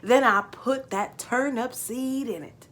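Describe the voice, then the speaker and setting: A woman's voice: a little high, slow, a bit bright, pitched above the performer's own voice. A woman voicing an old farmer father who spins boastful tall tales in a folksy rural dialect for a storybook reading. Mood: boastful, reminiscing.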